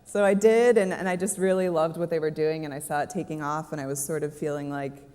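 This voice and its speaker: Chatty woman, low voice, hall reverb. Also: steady tone, fast, darkish tone, clear, resonant tone. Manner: chatty, self-deprecating, laughs often. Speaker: panelist. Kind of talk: conference panel talk. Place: conference stage.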